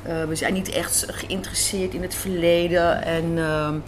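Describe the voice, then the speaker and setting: A woman's voice: fairly low pitch, level tone, a little slow. A woman being interviewed as a writer, talking informally, thinking aloud and repeating phrases for emphasis. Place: café. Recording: faint background room noise. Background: faint café ambience.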